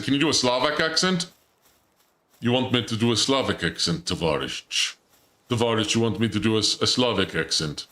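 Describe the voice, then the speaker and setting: A man's voice: medium pitch, sharp tone, echoey room. A man streaming a game, chatting casually and joking while he reads chat aloud. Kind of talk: casual gameplay commentary.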